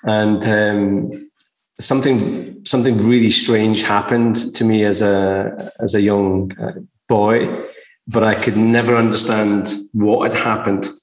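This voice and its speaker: A man from Glasgow with a softened Scottish accent, telling his own story casually and haltingly as a podcast guest. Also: lowish voice, little pitch movement. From Glasgow, Scotland. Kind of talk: casual personal storytelling in an interview. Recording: echoey room sound.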